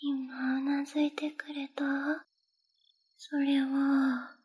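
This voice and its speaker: A young woman with a Kansai accent voicing a clingy, possessive, lovesick young woman in a breathy close whisper for an ASMR roleplay. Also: high voice, flat delivery, takes it slowly, warm tone. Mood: pleading.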